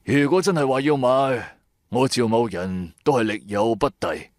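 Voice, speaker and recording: An actor with a Cantonese accent playing a shrewd, self-assured old antiques dealer in a radio drama, speaking in a measured, grandiose way. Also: male voice, mid-range voice, no echo, clean studio sound, lightly acted. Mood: smooth, bargaining.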